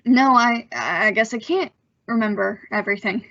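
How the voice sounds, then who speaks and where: A woman's voice: on the high side, normal speed, very noisy, lightly acted. A woman acting an awkward, apologetic young man in an old-time radio suspense play, stammering and using folksy slang. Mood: sheepish. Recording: slightly dull, muffled audio.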